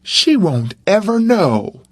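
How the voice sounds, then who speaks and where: A man's voice: high voice, sing-song, takes it slowly, dry recording, clean studio sound, voice pushed up, exaggerated voice. A man voicing a mischievous young boy who coaxes and wheedles in a storybook reading. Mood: coaxing. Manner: wheedling, persuasive.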